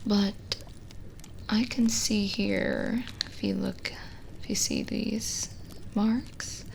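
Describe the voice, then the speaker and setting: A woman playing a lonely, gentle, teasing witch reading palms in a soft-spoken, whispering ASMR roleplay. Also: medium pitch, steady tone, gravelly, full character voice. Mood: curious, soothing. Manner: slow, hesitant, intimate, teasing.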